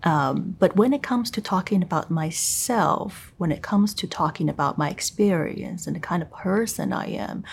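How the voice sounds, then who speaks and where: Low, gravelly-voiced thoughtful woman. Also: normal speed, hardly any pauses, slight background noise. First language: Chinese. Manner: thoughtful, hesitant, searching for words. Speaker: tutor. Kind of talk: academic conversation.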